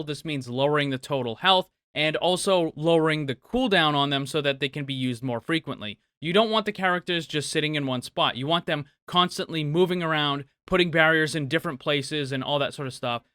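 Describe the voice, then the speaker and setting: A man's voice: on the high side, sharp tone, clean tone, no room sound, clean studio sound. A man hosting a gaming question-and-answer show, giving casual, opinionated commentary in a conversational way, thinking aloud as he goes.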